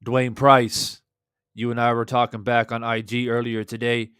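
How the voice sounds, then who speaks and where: A man in his thirties with a hoarse voice, hosting a casual boxing livestream from a small room at home, shouting out viewers in the chat. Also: mid-range voice, flat delivery, normal speed, dry recording, studio quality. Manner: laid-back, shouting out chat viewers, swears freely. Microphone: studio desk mic with headphones.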